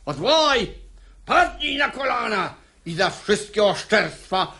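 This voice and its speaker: A man's voice: high-pitched, big pitch swings, slow pace, bright, forceful tone, heavily acted. An actor playing a hot-tempered, gullible, domineering father in a verse radio comedy, blustering and declaiming theatrically.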